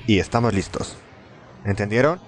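A man's voice: lowish voice, expressive, moderate pace, slight background noise. A man with a Latin American accent playing a cocky, smug young corporate schemer in a fan dub of an adventure game. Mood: confident, scheming.

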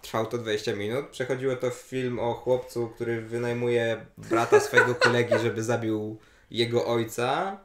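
A man's voice: medium pitch, steady tone, moderate pace, slight background noise. A young adult man casually discussing and reviewing in conversation. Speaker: film festival reviewer. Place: small living room, indoors, on a couch. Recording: roomy echo.

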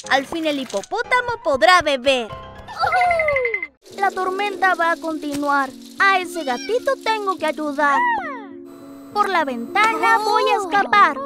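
A performer voicing a playful, adventurous young lion cub in a children's cartoon, speaking in sing-song, exclamatory rhymes. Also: very high voice. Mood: eager. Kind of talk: rhyming children's cartoon narration. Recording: loud music under the voice.

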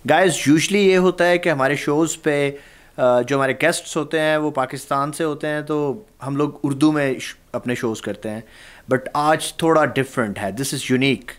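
A man in his thirties hosting a studio interview, speaking fast with hesitant fillers, close to a studio mic. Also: on the high side. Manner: rapid speech with hesitant fillers. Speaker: host. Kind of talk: studio interview host, Urdu then English. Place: small studio with red backdrop. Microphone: studio mic on boom arm.

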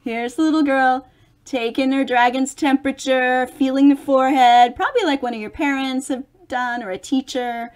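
A woman's voice: high-pitched, fairly even intonation, normal speed. A middle-aged woman reading a children's picture book aloud, expressively, with chatty explanations for young listeners. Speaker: storybook reader for children.

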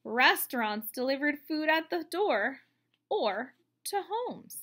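A woman in her thirties reading a picture book aloud to children, explaining as she goes in an expressive way. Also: high voice, slow pace. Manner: expressive, explanatory, addressing young listeners. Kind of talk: read-aloud storytelling for children with asides. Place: room at home, indoors.

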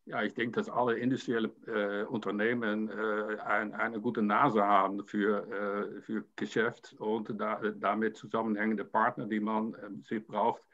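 Halting older man on a video call. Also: fairly low pitch, little pitch movement, fairly bright tone. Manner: halting, many fillers and repeated words. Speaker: panel guest. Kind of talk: panel discussion over video call. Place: home room with painting. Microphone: video call webcam. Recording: dull lo-fi call audio.

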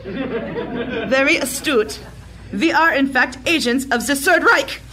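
A coldly menacing female Nazi agent from an audio drama, gives orders with haughty formality. Her voice is fairly high and highly animated, over faint background noise. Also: slow, a faint crowd, full character voice, rough recording. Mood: smug, scornful. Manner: haughty, commanding, formal phrasing.

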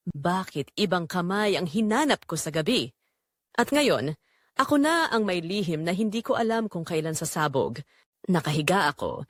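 A woman playing a guilt-ridden pregnant young wife, telling her secret as an anguished, measured, first-person dramatic confession. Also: lowish voice, dry recording, clean studio sound.

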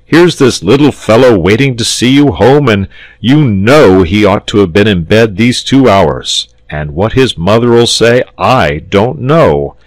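A man voicing a kindly but firm saintly knight in a storybook, brisk, reassuring and no-nonsense. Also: a bass voice, dry recording, exaggerated voice, rough recording. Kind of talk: storybook character voice.

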